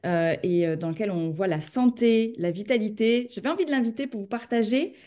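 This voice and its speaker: A woman's voice: mid-range voice, brisk, mellow. A woman hosting a wellness podcast interview, chatty and enthusiastic in her questions. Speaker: podcast host.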